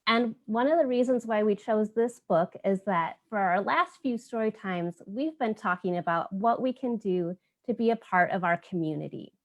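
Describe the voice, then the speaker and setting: A woman's voice: medium pitch, normal speed, hardly any pauses. A woman hosting a virtual children's story time, explaining things in simple, child-friendly language.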